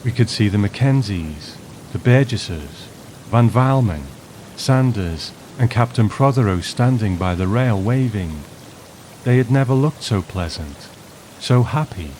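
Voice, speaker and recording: A man's voice: fairly low pitch, expressive, measured pace, darkish tone, slight background noise. A man hosting and narrating from a script, reviewing and reading a story aloud. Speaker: host and narrator. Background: music.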